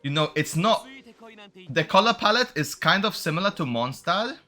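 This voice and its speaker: A man's voice: on the high side, lively intonation, moderate pace, bright, forceful tone, faint music behind. A man giving casual, unscripted commentary as he plays a video game, musing and thinking aloud. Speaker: streamer playing a video game.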